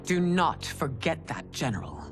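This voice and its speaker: A woman playing a stern, regal middle-aged empress in a fantasy video game, speaking in a formal, commanding, measured way. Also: deep voice, animated, over music. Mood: stern, reproving.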